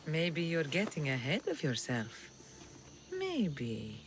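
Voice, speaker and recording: Game casting: deep-voiced huntress priestess, alluring, stately, widely varied pitch, faint ambient noise. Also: female voice, wildly swooping pitch, full character voice. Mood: relieved, teasing. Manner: stately, poetic, measured. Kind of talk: video game cutscene acting.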